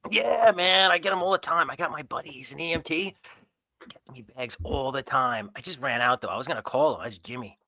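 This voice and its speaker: A man playing a brash, crude, easygoing vampire with slangy, excitable talk in an improvised tabletop roleplay. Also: mid-range voice, brisk, no echo. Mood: defensive, chatty.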